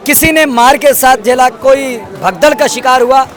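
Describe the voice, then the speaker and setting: A man's voice: extremely high pitch, moderate pace, fairly bright tone. A man reporting from a protest, asking probing questions and then summing up earnestly to the camera. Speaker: street reporter. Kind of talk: street interview at a protest. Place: outdoor protest site. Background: protest crowd.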